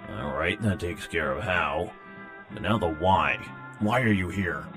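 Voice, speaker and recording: A man's voice: low voice, unhurried, music in the background. A man playing an exasperated, sarcastic holidaymaker, grumbling incredulously in a comic audio drama.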